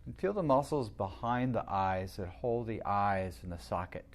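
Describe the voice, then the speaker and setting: A man with a fairly low voice leads a guided meditation. He speaks soothingly and without hurry, leaving long pauses between his instructions like a practised teacher. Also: expressive, creaky.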